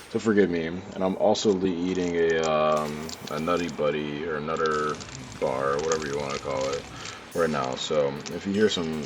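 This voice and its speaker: A man's voice: a bass voice, noisy background. A young man with a cold, congested and stuffy, narrating his own training footage in a loose, rambling voice-over with many fillers and restarts. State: congested, sore throat, clearing throat often.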